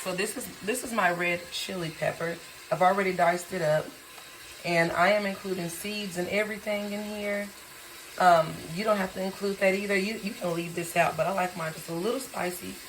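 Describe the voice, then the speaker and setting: A hoarse woman who has lost her voice, casually and tiredly talking through a recipe in her home kitchen. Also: fairly even intonation, normal speed, slight background noise, poor audio. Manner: tired, low-key, chatty with fillers. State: hoarse, lost voice from sinus infection. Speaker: home cook vlogger. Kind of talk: casual cooking demonstration. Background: sizzling and frying in a pan.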